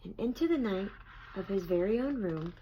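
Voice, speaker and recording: A woman reading a children's picture book aloud in an expressive storytelling style. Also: mid-range voice, normal speed, highs cut off, slight background noise. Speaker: storybook reader.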